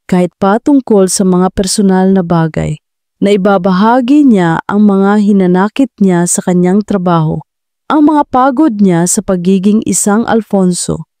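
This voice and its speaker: A woman narrating a scripted romantic audio drama with a steady storytelling delivery. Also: medium pitch, dry recording, clean studio sound.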